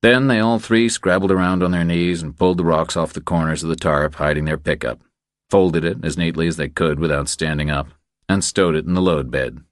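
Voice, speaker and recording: Audiobook narrator: man, very deep register, dry studio-close sound, scripted thriller read. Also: no echo.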